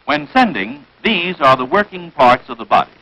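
A man narrates a 1940s military instructional film with an American accent. His delivery is crisp and declarative, with animated swings in pitch and a forceful, slightly husky edge. The sound is that of a vintage film soundtrack. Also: normal speed, poor audio.

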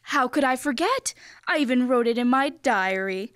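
A teenage girl playing a patient, skeptical sitcom wife in a measured, dry old-time radio comedy style. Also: high voice, lively intonation, fairly bright tone, no echo. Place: school library room, stage microphone.

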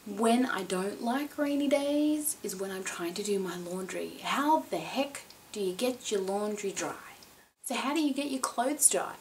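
A woman in her thirties hosting a casual how-to vlog from a small room at home, talking straight to the camera in a chatty, animated way. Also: medium pitch, a little hiss or hum, low-quality recording. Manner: chatty and animated, with expressive emphasis. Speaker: vlogger.

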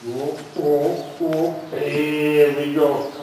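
A male riding coach gives short, repeated commands in a slow, emphatic way. His voice is fairly high for a man and somewhat mellow. It comes from a distance across a very echoey covered arena. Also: flat delivery, a little hiss or hum. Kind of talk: calling out instructions and critique during a show jumping clinic. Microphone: distant camera at the side of the arena.

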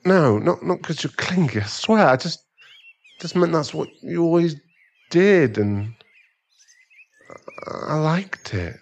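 A man's voice: on the high side, lively intonation, takes it slowly, gravelly, pauses often, full character voice. A man playing a remorseful sugar-daddy boyfriend in an ASMR audio roleplay, hesitant and stammering as he earnestly pleads for forgiveness. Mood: pleading, regretful.

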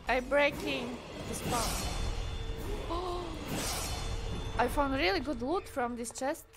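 A woman's voice: high-pitched, slow pace, over loud music. A young woman with a Slovak accent, chatting casually and giggling while playing a game with her partner. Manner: giggly, chatty, easily amused. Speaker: co-host gamer. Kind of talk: casual gameplay chat.

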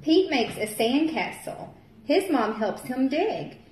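Young woman teacher reading a storybook to kids at home; slow, sing-song delivery with a bright, higher voice; echoey room, camera at table distance. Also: a little hiss or hum. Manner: slow, expressive read-aloud, bright voices for the characters. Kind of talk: reading a picture book aloud to young children.

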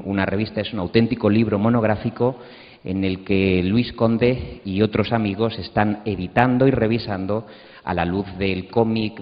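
A middle-aged man with a Castilian Spanish accent, moderating a panel and introducing its speakers into a handheld microphone on an auditorium stage. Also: lowish voice. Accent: Castilian Spanish from Spain. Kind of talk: introducing panelists at a round table. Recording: muffled, reverberant auditorium recording.

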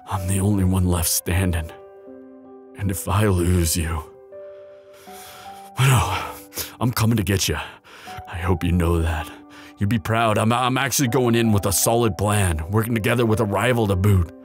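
A guilt-ridden bodyguard played by a man in a romantic audio roleplay. The voice is fairly low, urgent and halting, with deep earnestness, over faint music. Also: natural voice. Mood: guilty, grieving, then tender resolve. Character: desperate, guilt-ridden male bodyguard in love. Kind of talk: boyfriend roleplay audio drama acting.

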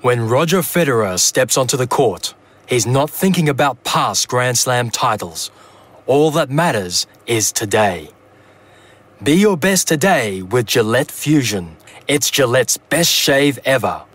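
A man's voice: mid-range voice, big pitch swings, measured pace, a bit bright. A man working as a voice-over artist, reading scripted advertising narration with a polished announcer delivery.

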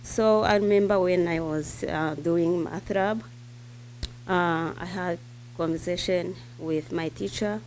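A middle-aged mother whose first language is not English, speaking haltingly and searching for words at a school board meeting. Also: on the low side, fairly even intonation, a little mellow, slight background noise. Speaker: parent speaker. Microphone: podium microphone.

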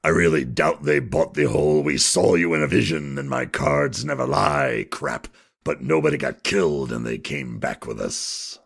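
A man playing a gruff, sceptical crook telling a story in an audio drama, with a gravelly, husky voice. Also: rumbling low, very animated, normal speed, exaggerated voice. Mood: grumbling, sceptical. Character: gruff, sceptical male crook recounting events.

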